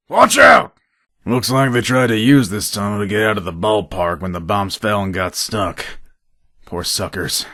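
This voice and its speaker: A wry, shrewd male heist planner and gun dealer from a video game. His gravelly voice sits on the low side and moves around expressively. He talks like a conspirator, dry and matter-of-fact, in a close, dry recording. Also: pushed and bright, dry recording, full character voice. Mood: urgent, tense. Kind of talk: video game character dialogue.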